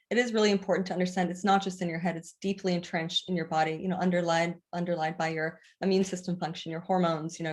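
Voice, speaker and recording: A woman from the Bahamas, a naturopathic doctor, speaking earnestly as a podcast guest with frequent filler phrases. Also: on the low side. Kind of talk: casual interview talk.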